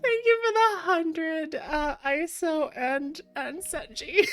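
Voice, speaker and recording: A woman livestreamer chatting casually, giggly and expressive, with playful exasperation. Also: very high-pitched, takes it slowly, faint music behind. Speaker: livestreamer, VTuber host.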